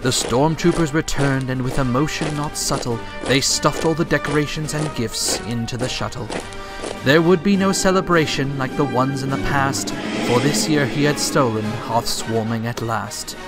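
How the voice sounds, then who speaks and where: Male narrator, medium pitch: theatrical, dramatic rhyming storybook read over a clear music bed. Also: expressive, normal speed.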